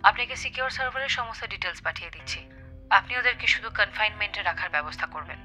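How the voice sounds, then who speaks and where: Bright, brisk secret agent. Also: female voice, mid-range voice, expressive, low-quality recording. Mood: businesslike. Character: brisk, capable female secret agent reporting to her boss. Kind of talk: audio drama acting. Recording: background music under the voice.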